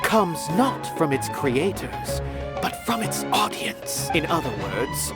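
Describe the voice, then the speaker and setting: A lofty, lively-voiced art connoisseur. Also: male voice, fairly high pitch. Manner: measured, formal and lofty. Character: worldly male art connoisseur, grave and refined. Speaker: former stage performer turned art critic. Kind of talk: video game voice acting. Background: game music.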